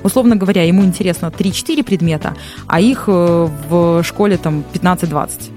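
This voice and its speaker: A woman's voice: lowish voice, brisk, warm tone, soft background music. A woman host who talks conversationally and asks questions. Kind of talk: casual talk show conversation.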